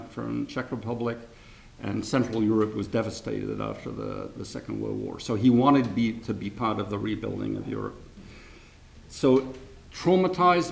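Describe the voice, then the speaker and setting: Older man with a gravelly voice, rambling talk. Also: medium pitch, a little mellow, rough recording. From grew up in France and England. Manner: rambling, self-correcting, chuckles often. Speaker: seminar speaker. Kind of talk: informal lecture with anecdotes. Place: lecture hall.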